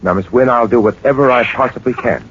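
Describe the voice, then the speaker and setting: Male actor as composed gentleman sleuth, lowish voice, polite and measured, 1940s radio, muffled and hissy. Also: natural voice. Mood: calm, probing. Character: suave, composed gentleman adventurer and detective hero. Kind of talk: 1940s radio detective acting with narration.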